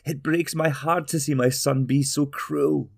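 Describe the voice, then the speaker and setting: A tearful mother's voice, raised well above the reader's own, soft and pleading as a man puts her on. It carries a gravelly edge beneath the lifted pitch. Heard in a storybook reading. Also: fairly even intonation, unhurried. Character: grieving gentle mother, middle-aged woman.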